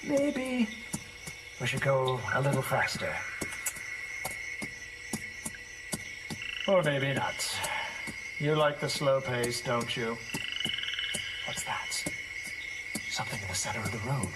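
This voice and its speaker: A man's voice: medium pitch, big pitch swings, a little slow, long pauses. An actor playing a timid, jittery, superstitious man riding at night in a theatrical audio drama, muttering hesitantly to himself. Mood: wary. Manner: hesitant muttering to himself, breaking into nervous whispers and exclamations. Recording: distant voice under loud music.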